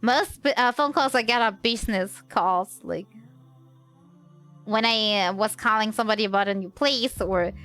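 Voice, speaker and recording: A woman's voice: medium pitch, moderate pace, a bit bright, smooth, faint music behind. A woman livestreamer with a slight cold, chatting casually in a hesitant, rambling way.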